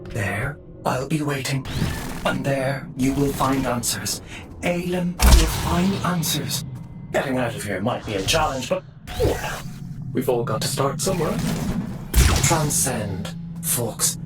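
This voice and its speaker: An eerie otherworldly prophet from a video game, cryptic and theatrical. The words come slowly and coaxingly, like an incantation. The voice sits at a distance beneath loud music. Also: medium pitch, expressive. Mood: coaxing, sly. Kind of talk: video game cutscene voice acting.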